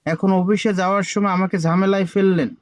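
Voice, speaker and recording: A man's voice: sits high, fairly even intonation, quick pace, very noisy, close to an everyday voice. A man voicing a harried, skeptical, chiding father in a comic village cartoon. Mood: fed up. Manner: fed up, chiding.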